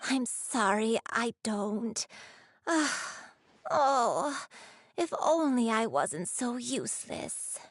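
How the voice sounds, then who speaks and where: A woman playing a frail, gentle old granny in a video game, slow and apologetic as she searches her failing memory. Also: on the high side, very animated, no echo, heavily acted. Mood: apologetic, tender. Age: older. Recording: music under the dry voice.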